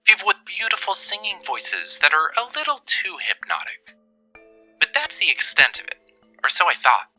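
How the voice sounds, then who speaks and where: A performer voicing an earnest, mystified storyteller in an audio drama. The voice is very bright and lively in pitch, confiding, with music underneath. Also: moderate pace, full character voice, rough recording. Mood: wondering. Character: earnest, mystified listener telling an eerie story. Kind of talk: dramatic first-person storytelling.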